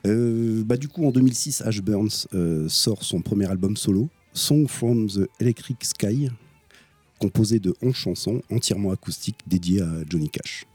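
Male French radio host, deep husky voice, hesitant and full of fillers, dry studio sound. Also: normal speed, a little mellow, dry recording, soft background music. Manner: hesitant, many fillers and restarts. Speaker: radio presenter. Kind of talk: casual radio chat about music.

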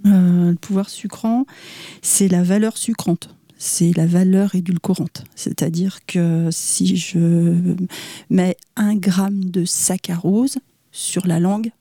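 A woman with a low, mellow voice explaining food science as a radio guest. She hesitates often, fills her pauses with soft fillers and drops into near-whispers. The studio sound is dry and close. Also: fairly even intonation, no room sound. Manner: hesitant, many "euh", soft half-whispered asides.

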